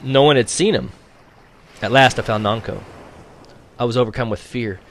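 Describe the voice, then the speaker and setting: A man reading a novel aloud as a teacher would for his class, in an expressive audiobook style. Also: mid-range voice, moderate pace, a little hiss or hum.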